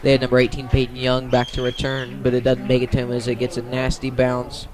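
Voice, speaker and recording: A man's voice: mid-range voice, monotone, a little fast, a crowd in the background. A man doing live radio play-by-play of a football game outdoors, in short clipped phrases. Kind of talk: live radio sports commentary.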